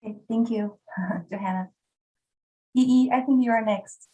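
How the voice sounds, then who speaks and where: Soft-spoken woman facilitating online. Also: on the high side, highs cut off. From China. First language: Chinese. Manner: measured, with frequent ums and uhs. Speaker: workshop facilitator. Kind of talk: online workshop introduction. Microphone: video call.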